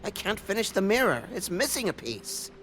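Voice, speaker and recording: Meek, lively, high little servant creature. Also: male voice, normal speed, noisy background. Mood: nervous. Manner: timid, deferential, hesitant. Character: meek, polite, eager-to-help male flying monkey servant. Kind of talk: video game voice acting. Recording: music under the dialogue.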